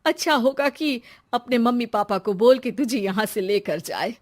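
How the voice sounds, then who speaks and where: महिला की निभाई दुखी, सख्त अधेड़ सास. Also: female voice, on the high side, expressive, normal speed, close to an everyday voice. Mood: stern. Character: middle-aged mother-in-law, aggrieved and stern.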